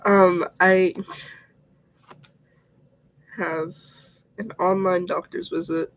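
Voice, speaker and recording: Congested young man, high and gravelly. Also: flat delivery, very slow, fairly bright tone, slight background noise, rough recording. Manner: chatty and rambling, often dropping to a whisper. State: congested, sick. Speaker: vlogger. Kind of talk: casual get-ready-with-me livestream chat.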